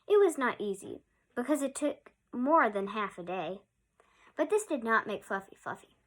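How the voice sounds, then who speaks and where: A woman storyteller reading a picture book aloud to young children, expressively and with drawn-out emphasis. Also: a little high, big pitch swings.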